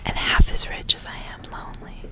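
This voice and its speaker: A woman speaks entirely in a whisper, with no full voice behind her words. She is an ASMR host reading stories aloud in a hushed delivery, mixing the narration with casual, conversational asides. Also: brisk. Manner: whispers throughout, unvoiced and hushed. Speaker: ASMR reader, host of the channel.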